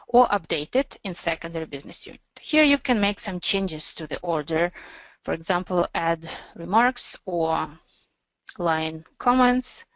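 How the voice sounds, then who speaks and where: A woman narrating an instructional software demo as a webinar presenter, explaining in a measured, step-by-step way. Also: on the low side, dry recording, highs cut off.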